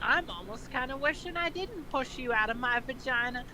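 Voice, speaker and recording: A woman's voice: sits high, animated, moderate pace, pushed and bright, rough recording. A woman voicing a weary, exasperated cartoon meerkat mother in a dry manner. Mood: fed up.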